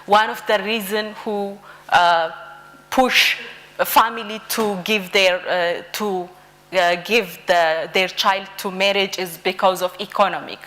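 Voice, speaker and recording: Woman, conference speaker, hesitant, many fillers, mid pitch. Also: fairly even intonation, measured pace, fairly bright tone. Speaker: project representative. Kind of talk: conference speech.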